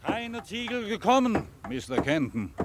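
Gruff, animated master builder. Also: male voice, high voice, measured pace. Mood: scolding, busy. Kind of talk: radio drama acting. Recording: clear noise of a building-site scene.

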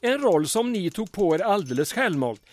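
A man's voice: high voice, sing-song, a little mellow. A man playing a wry, composed wartime town mayor with a dryly polite manner in a comic audio drama. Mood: indignant.

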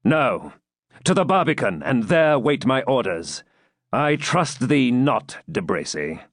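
A man voicing a stern, commanding champion knight in an audiobook, authoritative and deliberate. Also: medium pitch, big pitch swings, no echo, studio quality, pitched above the performer's own voice.

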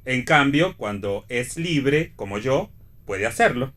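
A man's voice: medium pitch, very animated, takes it slowly, fairly bright tone, exaggerated voice. An actor playing a sardonic, free-spirited man who teases with dry quips in a read radio play. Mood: sardonic, teasing. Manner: wry, teasing, dry quips. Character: Miguel, sardonic free-spirited man back after long absence. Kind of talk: staged reading of a radio play.

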